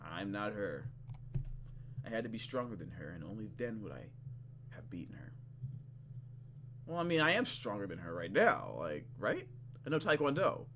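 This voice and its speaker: Casting: playful male let's-player, lively swings in pitch, narrates game text and reacts casually, over clear background noise. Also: moderate pace, long pauses. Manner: playful, expressive, acts out reactions. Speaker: gaming channel host. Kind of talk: let's play, reading story text aloud with casual commentary.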